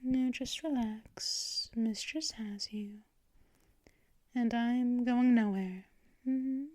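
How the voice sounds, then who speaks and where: A doting, possessive vampire mistress, played by a woman, murmurs at a very slow, unhurried pace, often slipping into hushed whispers and soft shushing. Her voice sits a little high and is caught up close and dry, with no room around it, in the manner of an ASMR roleplay. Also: dry recording. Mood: soothing. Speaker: ASMR performer.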